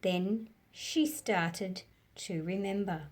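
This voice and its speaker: A woman reading a children's storybook aloud in an expressive, deliberate storyteller's manner. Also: medium pitch. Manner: slow, deliberate, animated delivery for young listeners.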